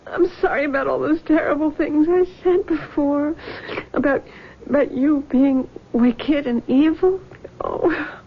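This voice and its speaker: A tormented young woman in a radio drama. Her voice is high and tremulous, and she speaks haltingly, full of anguish. The recording is dull and lo-fi, like 1970s radio. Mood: remorseful. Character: frightened, tormented young woman haunted by a dead suitor.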